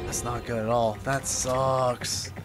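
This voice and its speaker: A man's voice: medium pitch, little pitch movement, slow, music in the background. A young adult man reacting casually to gameplay as a streamer, muttering to himself and swearing.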